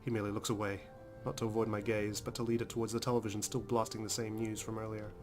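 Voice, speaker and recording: A man's voice: on the low side, flat delivery, fast talker. A man hosting a let's play, reading visual novel narration aloud dramatically with casual, emotionally involved comments. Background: game music.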